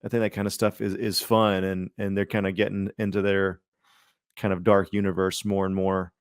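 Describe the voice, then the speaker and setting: A man's voice: low-pitched, little pitch movement, moderate pace, smooth, no room sound. Rambling man chatting casually, close dry sound. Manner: rambling, informal, slangy. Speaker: podcast co-host. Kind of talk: casual chat about superhero films.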